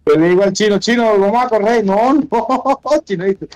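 A man's voice: high voice, normal speed, a little mellow, faint music behind, rough recording. A Venezuelan man chatting casually and swearing in slang while he streams an online game. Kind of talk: casual voice chat while gaming.

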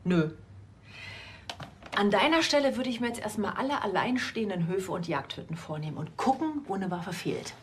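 An actress playing a practical, matter-of-fact police station colleague with dry humour in a TV crime comedy. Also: female voice, mid-range voice, expressive, normal speed, slight background noise, natural voice.

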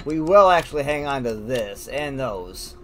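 A man's voice: mid-range voice, animated, measured pace, fairly bright tone, slight background noise. A man giving casual, informal gameplay commentary as a let's play host, thinking aloud and reacting as he goes.

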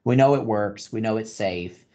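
A man's voice: on the low side, normal speed, dark tone. A man answering as a physician panelist on a video call, explaining step by step and repeating phrases for emphasis. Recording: dull video-call audio.

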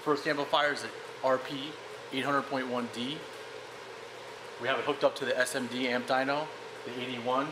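A man's voice: medium pitch, steady tone, moderate pace, noisy background. A man giving a casual, matter-of-fact technical demonstration as a company product demonstrator. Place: indoor workshop.

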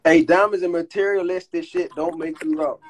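A young man hosting a phone livestream from a small indoor room, talking in brash, swearing, quick banter. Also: on the high side, fairly even intonation, a little hiss or hum. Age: young adult. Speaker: livestream host. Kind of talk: casual livestream chat. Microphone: phone held close to the face.

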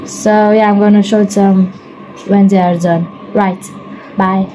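A woman's voice: medium pitch, little pitch movement, measured pace, warm tone, soft background music, rough recording. A young woman vlogging in a casual, joking way, talking to a handheld phone in a small indoor salon. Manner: chatty, joking, repeats filler words. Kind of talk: casual vlog chat to camera. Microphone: phone held at arm's length.

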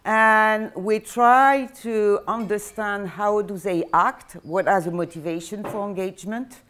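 A woman's voice: mid-range voice, unhurried, fairly bright tone. An older woman with a French accent gives a university lecture, hesitant and full of fillers and restarts, heard from a camera across a classroom. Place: classroom with projector screen.

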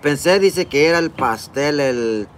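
A man's voice: on the high side, expressive, measured pace, a little hiss or hum. Honduran man greeting livestream viewers. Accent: Honduran Spanish. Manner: friendly, greets viewers by name, eating between lines. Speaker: livestream vlogger reading chat. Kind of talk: casual livestream chat. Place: outdoors in a park, seated at a table. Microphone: phone camera close up.

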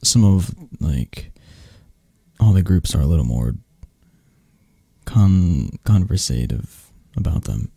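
A man playing a possessive, flirty mafia boss who is a tender lover, in a soft, intimate, halting ASMR romance roleplay. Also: low voice, animated, creaky, breathy edge, no room sound, exaggerated voice. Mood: relaxed, possessive.

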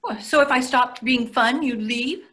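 A woman's voice: on the high side, unhurried, fairly bright tone. An older woman playing an anxious, needling therapist and wife, hesitant and defensive, in a play read over a video call.

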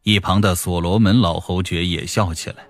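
A man's voice: deep voice, steady tone, normal speed. A man narrating a scripted audiobook story. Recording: music behind the voice.

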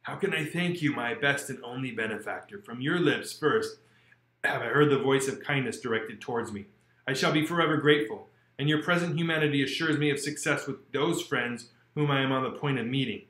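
A man's voice: mid-range voice, fairly quick, fairly bright tone, lightly acted. A man voicing a lonely, shunned male creature who pleads in a formal, earnest way. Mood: pleading. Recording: roomy echo.

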